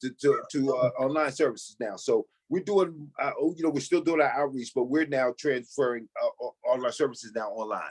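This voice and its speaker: A man's voice: medium pitch, fairly quick, few pauses, slightly rough. A man who is a pastor, speaking as an interview guest on a video call, emphatic and repeating words. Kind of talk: casual interview talk.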